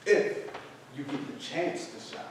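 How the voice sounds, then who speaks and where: A man's voice: unhurried, close to an everyday voice. A man playing a confident, breezy veteran actor in his thirties in a staged reading. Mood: breezy, teasing. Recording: very echoey room, distant mic, faint noise.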